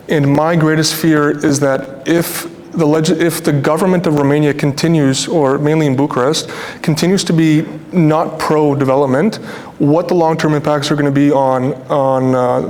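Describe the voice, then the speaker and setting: A young man from Toronto with a North American accent, speaking earnestly and colloquially as a developer on a panel. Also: darkish tone. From Toronto, Canada. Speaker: real estate developer on a panel. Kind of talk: informal panel discussion remarks. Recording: echoey hall, noisy with low rumble.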